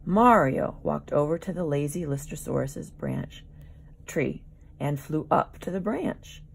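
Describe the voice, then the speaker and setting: Low, husky woman reading a storybook, unhurried. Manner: dramatic, pausing, engaging young listeners. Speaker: children's author reading aloud. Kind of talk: expressive storybook narration.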